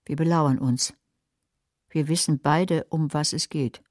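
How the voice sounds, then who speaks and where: An actress playing a scheming, bitter, watchful older woman who narrates a crime radio play in a measured, controlled, literary way. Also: sits low, steady tone, a little mellow, no echo, studio quality. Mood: grave, bitter.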